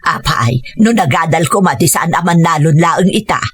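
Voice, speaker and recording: A woman with a Filipino accent playing a demanding, grumbling elderly mother with a husky voice in a radio drama. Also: low voice, animated, moderate pace, faint music behind. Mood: scornful, complaining. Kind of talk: Ilocano radio drama acting.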